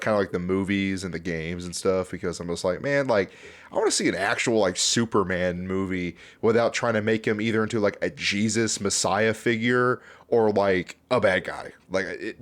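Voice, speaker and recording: A man hosting an online video, giving casual, off-the-cuff commentary in a chatty, informal, rambling way. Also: fairly low pitch. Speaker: YouTube host reviewing fan videos.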